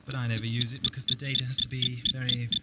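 A man with an English accent playing a whimsical, chatty, easily miffed time traveller in an amateur audio drama, with playful, expressive delivery. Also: mid-range voice, fast talker, natural voice. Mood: inquisitive. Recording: noisy 1980s cassette recording.

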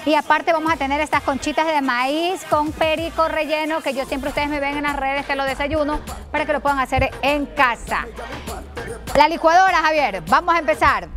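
A woman's voice: high voice, normal speed. A woman in her thirties with an Ecuadorian accent, hosting a cooking segment in a TV studio kitchen. She chats and teases her guest. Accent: Ecuadorian Spanish. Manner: chatty and teasing, exclaims. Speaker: fitness cooking host. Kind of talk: lively TV cooking chat. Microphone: lapel. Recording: TV studio with music bed.

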